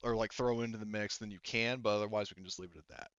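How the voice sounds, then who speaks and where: Game master, male, smooth and lowish, halting improvised narration, close dry slightly muffled recording. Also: fairly even intonation, fairly bright tone, dry recording. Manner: halting, conversational.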